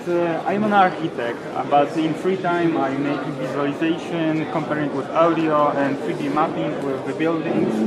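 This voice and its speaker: Man from Poland, halting English. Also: a little high, poor audio. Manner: halting non-native English. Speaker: workshop participant. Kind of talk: self-introduction.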